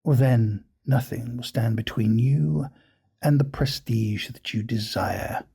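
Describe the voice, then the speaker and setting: A man playing a coldly ambitious aristocratic gentleman in an audio drama, speaking in a formal, suave, measured way. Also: mid-range voice, creaky, slightly rough, exaggerated voice. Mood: cold scheming. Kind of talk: scripted audio roleplay drama.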